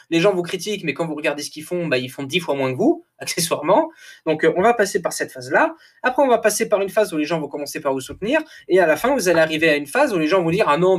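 Chatty man, lively podcast talk. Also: fairly high pitch. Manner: animated, laughs often, repeats and restarts words. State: a little tired. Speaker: podcast host. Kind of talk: casual motivational podcast monologue.

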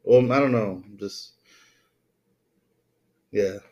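A man's voice: on the low side, slow, darkish tone. A man co-hosting a podcast in casual banter, talking in a profane, slangy way. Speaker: podcast co-host.

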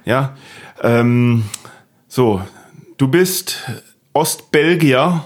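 A man's voice: mid-range voice, extremely slow. German guy hosting a comedy podcast, goofs around, repeats stuff for laughs. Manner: playful, repeats words for comic effect. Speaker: podcast host. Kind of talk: casual podcast chat.

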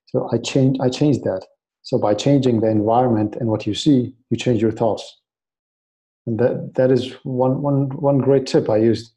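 A man's voice: mid-range voice, fairly even intonation, moderate pace, warm tone, low-quality recording. A man in his late twenties, originally from Iraq, talking earnestly in an interview and often restarting his words. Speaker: guest. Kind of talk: casual interview.

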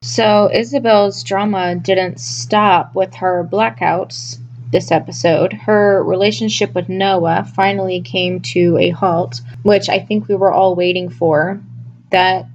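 A woman's voice: medium pitch, fairly even intonation, slow, highs cut off. Scratchy-voiced woman with a cold. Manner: musing, asks listeners questions, many restarts. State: sick, losing her voice. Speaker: podcast host reviewing a TV episode. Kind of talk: casual solo review talk.

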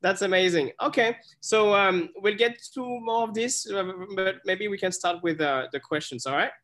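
A man's voice: high voice, a bit bright. Chatty, encouraging male interview host. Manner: chatty and encouraging, halting with fillers. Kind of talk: casual interview.

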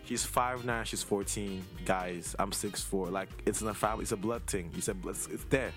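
A man's voice: fairly low pitch, normal speed, a bit bright, soft background music. A man in his twenties from Nigeria, hosting a casual interview in an informal, rambling way that circles around his questions. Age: 20s. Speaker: host interviewing a younger relative.

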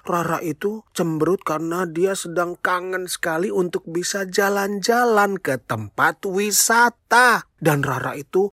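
A storyteller telling a children's tale in an expressive, playful way, speaking straight to young listeners with exclamations and questions. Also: mid-range voice, measured pace, no room sound, studio quality. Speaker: children's storyteller, show host.

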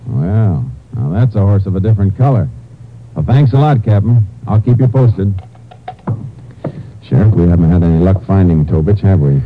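An actor playing a laconic, folksy Texas Ranger detective in an old-time radio crime drama, speaking matter-of-factly. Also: male voice, low-pitched, lively intonation, normal speed, phone-quality sound, slight background noise. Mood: businesslike. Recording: band-limited vintage radio recording with music.

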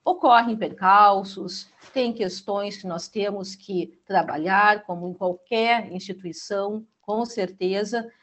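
A woman's voice: mid-range voice, slow, hardly any pauses, a bit bright. A woman, answering interview questions about her school in a chatty way, with frequent fillers. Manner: chatty, uses many fillers like né. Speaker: school representative.

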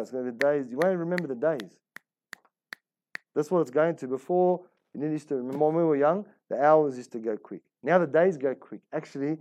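A man's voice: a little high, darkish tone. A man giving a religious class as an Islamic teacher, talking colloquially with rhetorical questions, repetition and Arabic religious phrases. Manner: colloquial, rhetorical questions and repetition, role-plays inner voices. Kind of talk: religious class, conversational sermon.

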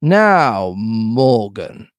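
A man voicing a fruity, theatrical, commanding pirate innkeeper with drawn-out, suggestive delivery in a storybook reading. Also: high voice, big pitch swings, no echo, voice pushed up, full character voice. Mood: stern. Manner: drawn out, innuendo-laden delivery.

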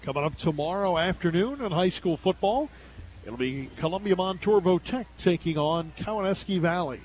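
An American man doing live radio play-by-play commentary of a high school football game. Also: lively intonation, moderate pace, darkish tone, slight background noise, poor audio. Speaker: play-by-play sports announcer.

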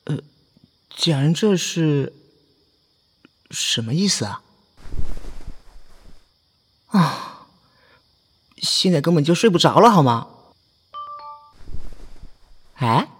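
A man's voice: on the high side, sing-song, slow, long pauses, husky, in a large hall, full character voice. A man playing a flustered young college student in an audio drama, easily exasperated, with a hesitant, sighing delivery. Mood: exasperated, puzzled. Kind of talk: Chinese online audio drama acting. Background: faint music.

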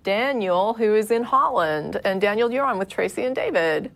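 A woman's voice: medium pitch, animated, normal speed, vocal fry. A woman hosting a call-in talk show, friendly and keeping the calls moving. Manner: friendly, organized, moves the show along. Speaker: host. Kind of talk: studio talk show chat.

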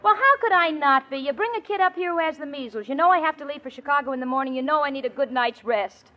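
A woman's voice: high-pitched, fast talker, some chatter behind, lightly acted. A woman playing an exasperated, anxious young roommate in a 1940s radio comedy. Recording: dull vintage radio recording, noisy, studio audience.

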